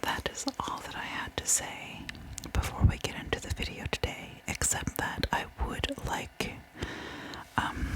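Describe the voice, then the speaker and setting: Female ASMR host: hushed breathy whisper, unhurried delivery, soft-spoken direct address to viewers. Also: rough recording. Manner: soft-spoken, repeats words gently. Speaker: host of a true crime ASMR channel.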